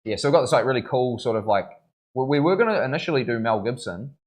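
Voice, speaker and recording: A young man, chatting casually on a live stream while tattooing, slangy and relaxed with many fillers. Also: mid-range voice, fast. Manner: laid-back, slangy, lots of "bro" and fillers.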